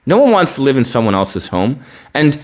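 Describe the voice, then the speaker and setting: A man's voice: medium pitch, sing-song, moderate pace, darkish tone. A man gives an opinionated news report, explaining emphatically and rephrasing his points. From born in Bosnia, partly raised in the United States. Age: 20s. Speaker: news podcast host.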